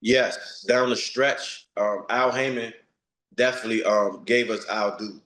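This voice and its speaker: A man's voice: on the low side, steady tone, unhurried, a bit bright, echoey room, rough recording. A man of forty, a retired boxer, giving blunt, streetwise interview answers and repeating himself for emphasis. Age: 40s.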